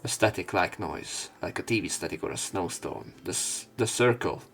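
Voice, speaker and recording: A man with a very low voice narrates a visual novel for a let's play. He moves between reading the text and casual, sweary asides of his own. Faint music plays behind him. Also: moderate pace, a bit bright.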